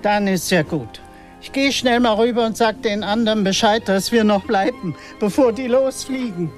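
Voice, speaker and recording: A woman's voice: medium pitch, normal speed, faint music behind, lightly acted. An actress playing a motherly, decisive older woman agent in a German radio play. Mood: brisk, bossy.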